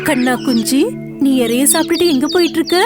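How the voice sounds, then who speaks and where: A woman's voice: high voice, quick pace, close to an everyday voice. A woman voicing a protective, scolding mother hen in a children's cartoon, admonishing and pleading, with music behind. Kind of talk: children's cartoon story acting.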